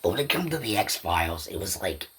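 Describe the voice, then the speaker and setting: Male podcast host: low, very rough husky voice, loose conversational rambling, repeats himself. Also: big pitch swings, fairly quick, pushed and bright. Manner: loose and repetitive, circling back over points. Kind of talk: rambling casual solo commentary.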